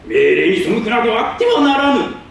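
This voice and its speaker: Staged reading: grandiose old monarch, high-pitched and imperious, theatrical delivery, recorded far off in the hall. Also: male voice, sing-song, fairly quick, vocal fry, exaggerated voice. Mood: pompous commanding. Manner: pompous, imperious, archaic old-man speech. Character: pompous old king ruling a tiny asteroid. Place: public hall stage, distant microphone. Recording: echoey hall from far off, faint noise.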